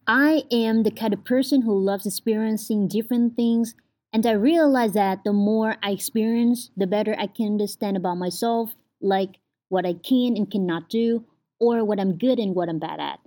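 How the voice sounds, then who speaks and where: A woman's voice: mid-range voice, moderate pace, mellow. A young woman in her twenties from Vietnam, a native Vietnamese speaker with a Vietnamese accent, hosting her own podcast at home in an earnest, chatty way, often saying "like". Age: 20s. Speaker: podcast host. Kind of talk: casual solo podcast chat.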